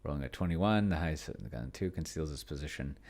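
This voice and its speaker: A man's voice: extremely low pitch, expressive, fast, darkish tone. A man giving casual think-aloud commentary on a game, musing to himself and trailing off. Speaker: solo tabletop game player and demonstrator.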